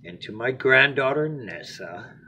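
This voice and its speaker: A man reads a children's picture book aloud as a bedtime story, expressively, with dramatic pauses and phrases that drop to a hush. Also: medium pitch, lively intonation, slight background noise.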